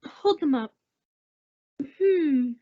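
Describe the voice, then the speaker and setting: Breezy best friend played by a woman, high voice, teasing and gossipy, muffled vintage radio comedy. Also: animated, drawn-out words, full character voice. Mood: wry, teasing. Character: wry, chummy, gossipy female friend of the housewife. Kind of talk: 1940s radio sitcom acting. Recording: muffled old-time radio style recording.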